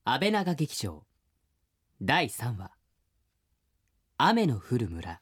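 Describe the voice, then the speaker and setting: A man playing a wistful narrator in a radio drama, recalling childhood in a quiet, reflective way. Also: medium pitch, huge pitch swings, drawn-out words, husky, no echo, studio quality, heavily acted.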